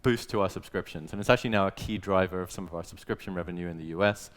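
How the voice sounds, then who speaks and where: A man's voice: sits low, very fast. A man presenting at a business event, explaining things in a casual, conversational way with plenty of "like". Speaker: conference speaker, growth manager. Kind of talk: informal business presentation to an audience.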